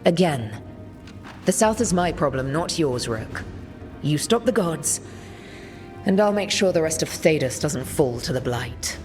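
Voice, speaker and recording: An actress playing a weary, resolute woman leader in a video game, speaking in a measured, grave, commanding way. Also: sits low, lively intonation, darkish tone, in a large hall, faint music behind. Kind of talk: video game cutscene acting.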